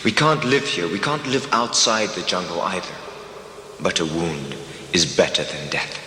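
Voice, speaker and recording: Man playing a deep-voiced, regal leonine king. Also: normal speed, bright, forceful tone. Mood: grave. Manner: solemn, regal, declamatory. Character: proud, scarred, centuries-old leonine king, male creature. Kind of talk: sci-fi audio drama acting. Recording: echoey hall reverb, music behind.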